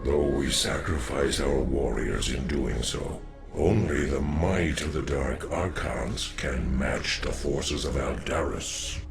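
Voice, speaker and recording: An actor playing a solemn, noble alien dark warrior in a video game, speaking formally in a gravelly voice. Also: male voice, heavily acted. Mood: grave, steady. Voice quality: very deep, gravelly. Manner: slow, formal, measured. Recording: loud music behind the voice. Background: loud game music.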